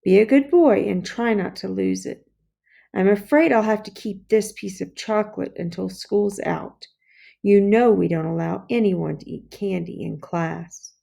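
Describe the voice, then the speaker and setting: A firm female schoolteacher from a storybook, played by a woman reader. She questions in a stern, measured way, with concern underneath. Her delivery is unhurried. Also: medium pitch, vocal fry, dark tone. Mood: firm, kindly. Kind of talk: children's storybook character voice.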